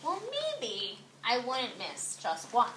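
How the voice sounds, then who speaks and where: Woman as proud male fish from a children's storybook, slow emphatic phrasing, echoey noisy room. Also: a little high, wildly swooping pitch, exaggerated voice. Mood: wavering. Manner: drawn-out, emphatic storybook delivery. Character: proud, vain male rainbow fish, reluctant to share.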